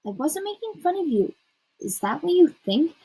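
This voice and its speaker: A woman's voice: extremely high pitch, sing-song, normal speed, mellow, pitched above the performer's own voice, exaggerated voice. A woman voicing a talkative, dramatic schoolgirl in an audiobook, emphatic and pleading with her best friend. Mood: upset, pleading.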